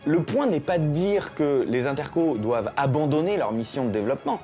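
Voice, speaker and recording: A man's voice: fairly high pitch, expressive, fairly quick, dark tone, faint music behind. A man giving analytical, argumentative commentary in an interview for a documentary.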